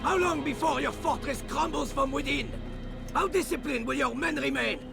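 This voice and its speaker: An actor playing a furious Templar knight commander in a game, declaiming threats in a gravelly, strained voice. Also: male voice, very high-pitched, normal speed, full character voice. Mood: menacing. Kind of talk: dramatic video game villain acting. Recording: music behind the voice.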